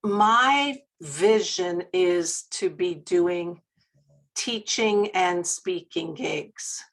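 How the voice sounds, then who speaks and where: Woman, somewhat low pitch, very slow pace, deliberate, casual chat. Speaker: panel guest.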